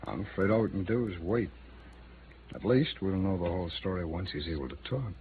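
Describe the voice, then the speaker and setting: An American actor playing an earnest, frustrated city attorney in a troubled, dogged manner, in 1950s radio drama style. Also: male voice, sits low, expressive, a little fast. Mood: weary, concerned. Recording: muffled, noisy vintage radio recording.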